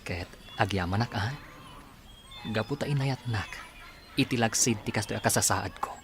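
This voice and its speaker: A man's voice: fairly low pitch, normal speed, close to an everyday voice. A man with an Ilocano accent acting a humble, grateful, tender young man in an audio drama, polite and earnest. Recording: faint background noise.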